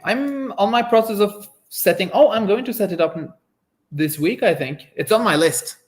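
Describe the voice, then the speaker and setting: A man's voice: high voice, normal speed. A man from Norway with a Norwegian accent, speaking as a founder in an eager, casual interview, often restarting his sentences. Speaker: company founder, guest. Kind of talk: casual live-stream interview.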